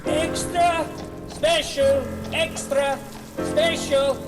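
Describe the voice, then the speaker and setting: A man's voice: very high voice, steady tone, extremely slow, music in the background, full character voice. A man playing a young street newspaper seller in a radio drama, calling out headlines in sing-song cries. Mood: urgent hawking. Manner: sing-song hawking calls. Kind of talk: stylised street-crier radio drama acting.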